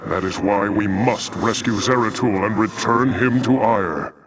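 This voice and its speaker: Noble alien warrior leader, man acting, very low, measured and grave, distant over a busy clamor. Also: a crowd in the background, natural voice. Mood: solemn, explaining. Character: noble, solemn alien Protoss commander, calm and resolute. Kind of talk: scripted video game acting.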